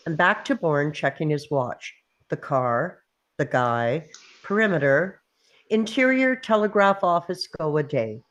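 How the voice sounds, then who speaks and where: A woman narrating screenplay stage directions in short, clipped, punchy phrases at a table read. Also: lowish voice. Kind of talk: scripted narration of screenplay action.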